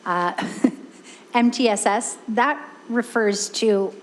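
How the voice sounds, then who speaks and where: A woman's voice: fairly high pitch, takes it slowly, a little hiss or hum, poor audio. A woman on a school board asking a presenter a tentative, apologetic question at a meeting.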